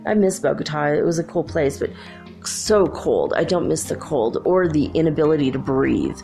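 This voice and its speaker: A woman's voice: sits low, lively intonation, normal speed, vocal fry, warm tone, faint music behind. A woman hosting a solo podcast, talking in a rambling, chatty way while congested. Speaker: podcast host. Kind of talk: casual solo podcast chat.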